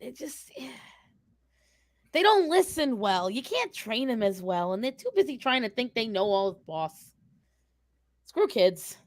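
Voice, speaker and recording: A woman's voice: fairly high pitch, big pitch swings, a little slow, long pauses, no room sound. A woman playing a brash, sardonic twenty-two-year-old character in an improvised Q&A, blunt, sarcastic and foul-mouthed. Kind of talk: improvised in-character question and answer.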